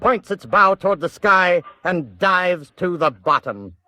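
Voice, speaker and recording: A man's voice: sits high, lively intonation, a little slow, pushed and bright, no room sound. An American man narrating a war drama in a grave, emphatic 1940s radio style.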